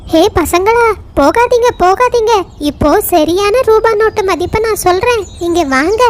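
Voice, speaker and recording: A woman's voice: extremely high pitch, moderate pace. A woman voicing a greedy, pushy crow aunty in a children's cartoon. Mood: greedy, urgent. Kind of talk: children's cartoon story acting.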